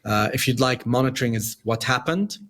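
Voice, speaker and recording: Measured middle-aged man with light Dubai accent. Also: medium pitch, a bit bright. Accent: light Dubai English. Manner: measured, explanatory, builds points in short phrases. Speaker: podcast guest, company founder. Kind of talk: interview answers.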